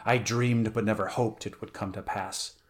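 A man's voice: lowish voice, fairly even intonation, normal speed, lightly acted. A man voicing a powerful, vengeful barbarian swordsman in a dramatic story reading, in a ranting, cursing manner. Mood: furious, gloating. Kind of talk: dramatic audiobook character reading.

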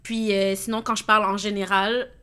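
A woman's voice: mid-range voice, little pitch movement, normal speed, fairly bright tone, smooth. A woman from Montreal, candidly sharing personal stories in a casual chat. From Montreal, Quebec.

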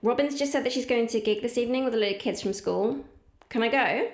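Casting: female actor as scornful teen daughter, fairly high voice, offhand sulky delivery, echoing room, audio play. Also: fast, natural voice. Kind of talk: naturalistic audio drama dialogue. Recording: roomy, echoing, slightly distant.

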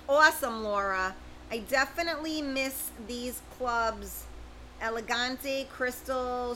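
A middle-aged woman hosts a home livestream, reading out viewer comments and answering each one by name. Her voice sits a little high and has a bright, forceful edge. A faint steady background hum runs under her talk. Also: low-quality recording. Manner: chatty, informal, talks straight to viewers by name. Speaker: livestream host.

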